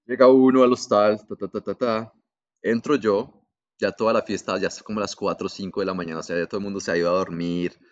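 A young Colombian man with a Colombian accent hosting a podcast, telling a travel story in a casual, profane, animated way and laughing along. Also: on the low side, fast, lo-fi sound. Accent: Colombian Spanish. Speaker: podcast host.

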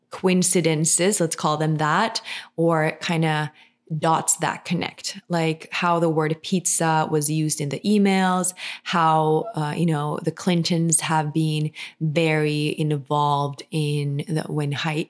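A woman from Finland, co-hosting a podcast in casual, rambling chat full of fillers and restarts. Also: deep voice. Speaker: podcast co-host.

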